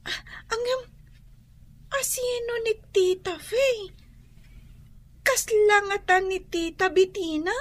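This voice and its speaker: A woman acting an excitable, sweet little girl in an Ilocano radio drama, with a childlike, whiny voice. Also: very high-pitched, slow pace, pauses often, heavily acted. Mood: puzzled, upset. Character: excitable, sweet, inquisitive little girl missing her father.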